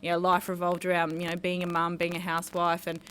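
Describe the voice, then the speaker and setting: A woman's voice: on the low side, monotone, quick pace, a bit bright, a little hiss or hum. An Australian woman, a fighter and guest, talking through her story in a chatty, rambling way, with lots of fillers and easy laughter. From Australia (New South Wales, raised in Queensland). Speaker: guest, mixed martial arts fighter. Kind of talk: casual interview chat.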